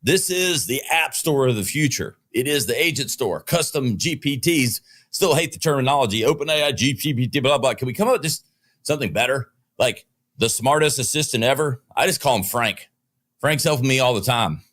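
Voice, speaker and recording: A man's voice: medium pitch, lively intonation, normal speed, a bit bright. A man with a Southern US accent, hosting a business podcast and giving opinionated news commentary with joking asides from a studio. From Southern United States. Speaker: podcast host.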